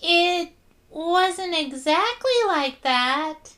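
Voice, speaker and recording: A woman's voice: very high-pitched, extremely slow, pushed and bright, exaggerated voice. A woman voicing a quirky young mare who feels left out, in a fan comic dub. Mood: defensive. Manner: bouncy, defensive.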